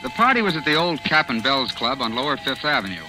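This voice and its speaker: Casting: man to play earnest young groom, protesting with very mobile pitch, 1940s radio drama with music behind. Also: big pitch swings, fast, natural voice, low-quality recording. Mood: insistent, rueful. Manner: protesting, pleading, defensive. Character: earnest, harried young bridegroom telling his story.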